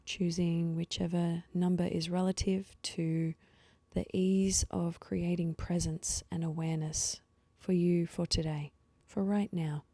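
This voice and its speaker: A woman leading a guided meditation with slow, soothing instructions and pauses, her voice soft and at times breathy. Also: lowish voice, level tone, no room sound.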